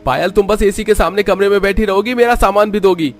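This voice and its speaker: A man's voice: sits high, brisk, faint music behind, natural voice. A man playing an angry, bossy rich young husband in a cartoon, snapping and demanding. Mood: furious. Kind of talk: Hindi cartoon story dubbing.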